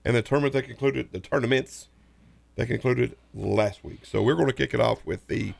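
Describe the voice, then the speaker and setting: A man co-hosting a local sports radio show, hoarse from a sinus infection, rambling and repeating himself. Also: mid-range voice, fairly quick, no echo. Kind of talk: casual sports talk. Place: radio studio. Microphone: studio microphone.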